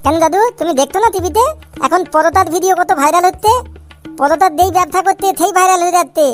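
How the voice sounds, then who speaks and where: Super high squeaky cartoon little boy, talks really fast, lisps, bratty and boastful. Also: steady tone, faint music behind. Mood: boastful, eager. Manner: childish lisping, boastful, grumbling. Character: cheeky, foul-mouthed little boy with big business schemes. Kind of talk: comic cartoon dialogue.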